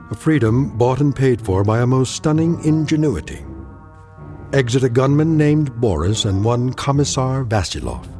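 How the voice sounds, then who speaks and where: An actor as a solemn, wry narrator of a suspense radio drama, speaking deliberately. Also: male voice, mid-range voice, darkish tone, natural voice. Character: omniscient, ironic anthology narrator delivering the moral.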